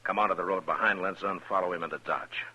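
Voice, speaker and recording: A low, deep frontier marshal acted by a man with an American accent, watchful and plainspoken. He gives orders tersely, in the style of a 1950s radio western. The old recording has clear crackle and noise over it. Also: steady tone, fast talker, lightly acted. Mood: watchful, measured.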